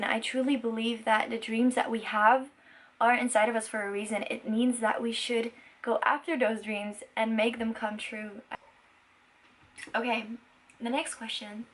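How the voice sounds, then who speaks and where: A woman in her twenties from Belgium, a native Dutch speaker, chatting casually to viewers in an earnest, enthusiastic and emphatic way. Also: fairly high pitch. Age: 20s. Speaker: vlogger and musician.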